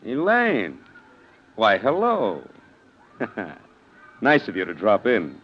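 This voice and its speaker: An actor playing a breezy, lovestruck young heir with a defiant streak, in 1940s radio drama style. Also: male voice, lowish voice, huge pitch swings, takes it slowly, exaggerated voice. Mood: uneasy. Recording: muffled noisy old radio recording.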